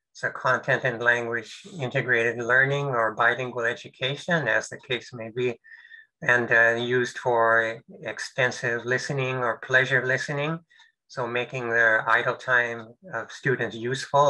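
Bright-voiced man with many fillers. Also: mid-range voice, low-quality recording. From United States. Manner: halting, full of fillers and restarts. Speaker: presenter. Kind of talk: webinar presentation. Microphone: video call.

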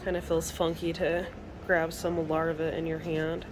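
A young woman in her kitchen chatting casually to her phone camera, clearing her throat now and then. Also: low-pitched, level tone, measured pace, noisy background. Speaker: vlogger. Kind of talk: casual home vlog narration. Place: home kitchen, indoors.

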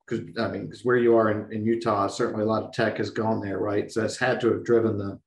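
A middle-aged man hosts a podcast in a relaxed, rambling way, his voice hoarse and fading. His pitch sits in the middle range. He speaks into a webcam on a video call in front of a logo backdrop. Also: fast talker. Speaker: podcast host. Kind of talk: casual podcast interview.

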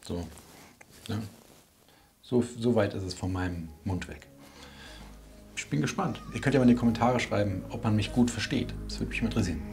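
Guy in his 40s doing a chill vlog, deep voice, pauses to think, bit of music under it. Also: a little mellow. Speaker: vlogger, audio drama maker. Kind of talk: casual video diary. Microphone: wireless clip-on lavalier.

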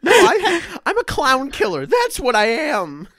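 Male improv podcast performer, twenties; very animated, swooping intonation. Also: very high-pitched, a little slow, a little hiss or hum. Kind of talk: improvised comedy role-play. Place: small room at home, video call.

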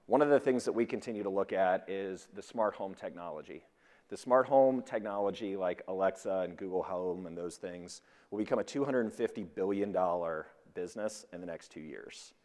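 A middle-aged man speaks as a corporate presenter on a conference stage. His voice sits on the low side, and a long hall reverb follows his words as he delivers a prepared business talk. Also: darkish tone. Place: large indoor conference stage before an audience.

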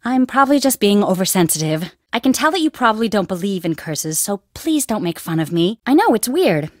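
A woman voicing a young female archaeology student at an Egyptian dig in a video game, animated, by turns nervous and wisecracking. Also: medium pitch, a little fast, no room sound, clean studio sound, close to an everyday voice. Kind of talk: studio game voice acting.

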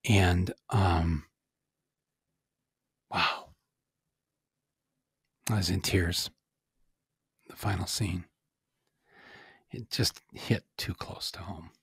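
A man's voice: low voice, slow pace, creaky, long pauses, husky, dry recording, studio quality. A man in his sixties hosting a podcast, talking in a rambling, self-deprecating way with chuckles and lots of "uh". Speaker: podcast host. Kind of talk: casual monologue.

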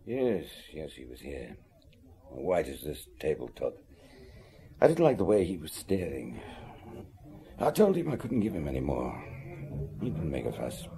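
A man's voice: extremely low pitch, huge pitch swings, unhurried, pauses often, warm tone, heavily acted. A man playing a gossipy, hesitant café owner in a radio drama. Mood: earnest, confiding.

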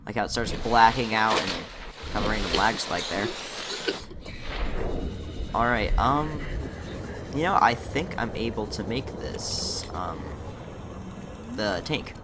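A young man commentating his own gameplay in a casual, chatty way, muttering to himself, his voice slightly rough from a recent illness. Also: on the low side, slow pace, long pauses, heavy background noise. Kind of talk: casual let's-play commentary.

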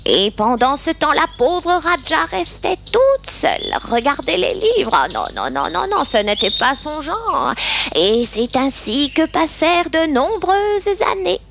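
A woman narrating a children's story in French with expressive storybook delivery. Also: sits high, unhurried. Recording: clear background noise behind the voice.

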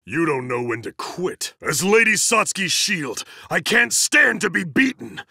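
An actor playing a huge, stern, zealous student enforcer in an anime fighting game, booming and bombastic, with formal, emphatic delivery and a gravelly, forceful voice. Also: male voice, very animated, measured pace, no echo, clean studio sound, exaggerated voice.

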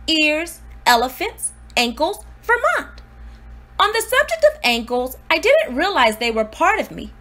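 Woman voicing a high, animated precocious storybook baby. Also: heavily acted. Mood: excited. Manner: earnest, exclamatory, self-important. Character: precocious newborn baby diarist, indignant, eager and dramatic. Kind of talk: expressive storybook read-aloud.

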